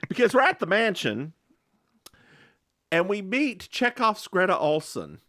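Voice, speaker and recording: Animated man recapping with campy flair. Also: a little slow, no echo, studio quality. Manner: animated, campy storytelling with sudden high-pitched impressions. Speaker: podcast co-host. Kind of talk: casual chat recapping a TV episode.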